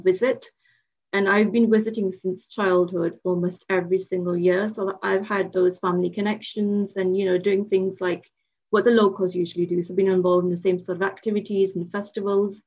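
A Pakistan-born woman, a magazine founder, answering interview questions in a measured way with frequent fillers. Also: on the low side. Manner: measured, with frequent um and you know. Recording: dull lo-fi remote audio.

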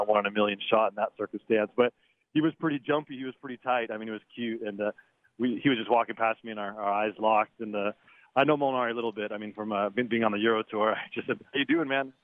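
A man calling in as a golf writer guest. He talks in a chatty, halting way, restarts words often and chuckles now and then. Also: lowish voice, dry recording. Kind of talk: phone interview. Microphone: phone. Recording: tinny dry phone line.

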